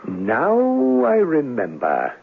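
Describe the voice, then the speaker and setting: Swooping, theatrical suave warlock. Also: male voice, fairly high pitch, huge pitch swings, extremely slow, vocal fry, heavily acted. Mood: sly, soothing. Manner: theatrical, ornate foreign-sounding phrasing, courteous and sly. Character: suave, eccentric male warlock and witch doctor. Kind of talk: 1970s radio drama acting. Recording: lo-fi, noisy old radio broadcast.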